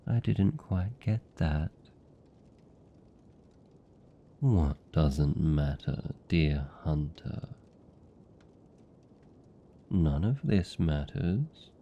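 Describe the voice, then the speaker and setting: A seductive British vampire played by a man, holding someone captive and doting on them. His voice is very low and extremely dark and soft, with a heavy creak. He teases in an intimate, velvety fantasy ASMR style. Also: muffled recording, full character voice. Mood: wry, amused. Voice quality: deep, dark, gravelly murmur. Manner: slow, teasing, velvety endearments. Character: seductive, possessive male vampire captor, teasing and doting.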